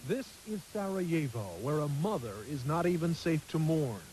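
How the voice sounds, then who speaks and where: A male television reporter delivering a formal, measured news package. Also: fairly high pitch, expressive, darkish tone, slight background noise, low-quality recording.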